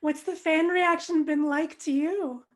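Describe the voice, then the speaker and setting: Female interviewer, notably high voice, conversational questions over a video call. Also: fairly even intonation, unhurried. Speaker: entertainment interviewer.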